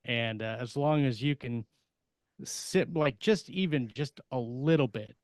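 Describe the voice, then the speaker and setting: A man's voice: medium pitch, unhurried, darkish tone, dry recording, studio quality. A man co-hosting a podcast, chatting in an informal, easygoing way. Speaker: podcast co-host. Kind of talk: casual chat.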